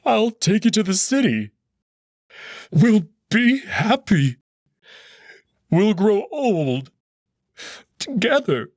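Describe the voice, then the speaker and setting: A man's voice: high voice, big pitch swings, drawn-out words, pauses often, dry recording, clean studio sound, voice pushed up, full character voice. A man voicing a grieving young male wolf who pleads desperately with a dying loved one, over music. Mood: pleading. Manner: pleading, choked with grief.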